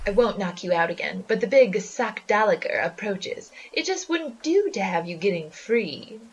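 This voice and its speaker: A woman's voice: medium pitch, expressive, moderate pace, slightly rough, rough recording. A woman playing a menacing, gloating spider monster in flapper guise, theatrical and taunting, in a 1920s gangster-style audio drama. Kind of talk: 1920s gangster slang radio drama acting.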